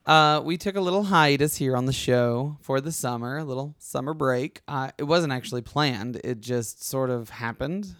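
A young man hosts a relaxed podcast chat with a slightly hoarse voice in a dry, close-miked room. He rambles and restarts his sentences easily. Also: medium pitch, normal speed, few pauses, no room sound. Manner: chatty, rambling, with frequent restarts. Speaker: podcast host. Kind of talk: casual conversational podcast chat.

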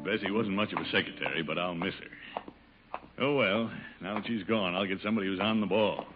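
An actor playing a pompous, blustering middle-aged American uncle in a radio sitcom, fussy and exclamatory. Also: male voice, brisk, loud music behind the voice, lightly acted. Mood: brisk, indignant. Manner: fussy, exclamatory, with low rumbling chuckles. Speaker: lead actor in a radio comedy. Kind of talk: 1950s radio sitcom acting. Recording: dull, hissy old radio recording with clicks.

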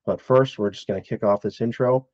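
An energetic man co-hosting a sports talk show, his voice at an average male pitch. The sound is dull and lo-fi, and dry, with no room to it. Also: brisk, no echo. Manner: energetic, hyped-up. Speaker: co-host. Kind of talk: casual chat.

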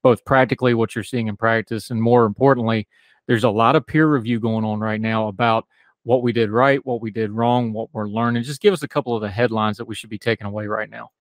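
A man's voice: fairly low pitch, fairly even intonation, brisk, no room sound. A man with an Appalachian accent hosting a talk radio show, folksy and chatty, interviewing a guest. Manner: folksy, talkative, self-deprecating.

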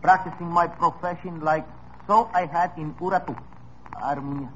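An actor playing a foreign pickpocket in an old radio drama, matter-of-fact with halting phrasing. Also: male voice, a little high, fairly even intonation, fairly bright tone, a little hiss or hum, natural voice. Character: cheerful foreign male pickpocket. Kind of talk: 1950s radio drama acting. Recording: muffled old radio broadcast.

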